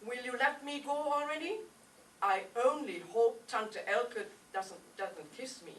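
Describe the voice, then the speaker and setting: A woman's voice: fairly high pitch, unhurried, a bit bright. A woman playing a whiny, reluctant young son, a boy scout, in an old-time radio comedy. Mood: whiny, defiant. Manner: whiny, complaining. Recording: echoey stage, distant mic, faint noise.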